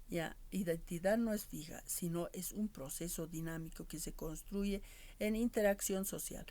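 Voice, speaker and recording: A woman giving a class lecture in a measured way, often ending her points with tag questions to her students. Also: lowish voice, darkish tone.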